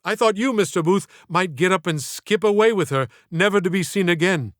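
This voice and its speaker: A man's voice: high voice, a little fast, a bit bright, dry recording, studio quality. A man voicing a devoted poet in an audiobook, courteous, deferential and flowery in his speech. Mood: fond, courteous. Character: devoted male poet friend, courteous, gently admiring and florid. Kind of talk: audiobook character dialogue.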